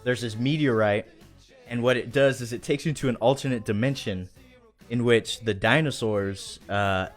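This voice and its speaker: A man's voice: medium pitch, moderate pace. A man hosting a casual livestream chat in an enthusiastic, rambling, slangy way. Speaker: show host. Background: faint music.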